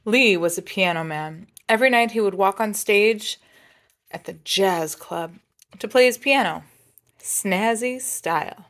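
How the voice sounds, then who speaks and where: A woman's voice: mid-range voice, unhurried, fairly bright tone. A woman reading a picture book aloud as a storyteller, with playful, dramatic phrasing and jazzy sound effects.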